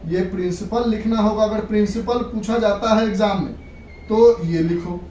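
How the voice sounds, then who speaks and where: A woman's voice: mid-range voice, fairly even intonation, normal speed, warm tone. Echoey, distant female physics teacher. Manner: instructive, repeats and checks understanding; mixes in English terms. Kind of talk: classroom lecture. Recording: echoey room, distant mic, background noise.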